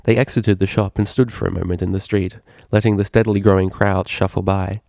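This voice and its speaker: A man's voice: low voice, little pitch movement, brisk, vocal fry, darkish tone, dry recording, studio quality. A man reading a scripted story aloud as its narrator. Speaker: fan fiction reader.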